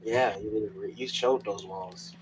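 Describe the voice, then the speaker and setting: A man's voice: on the low side, fairly even intonation, a little slow, low-quality recording. A man playing a tabletop roleplay, bantering casually with slangy teasing, with some background noise. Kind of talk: casual banter during a game.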